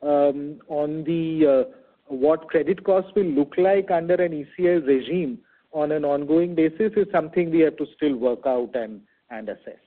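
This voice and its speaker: A man with an Indian accent answering questions as a bank executive on an earnings call, speaking in a halting, measured, hedging way over a phone conference line. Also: fairly high pitch, narrow, tinny audio. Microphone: telephone conference call.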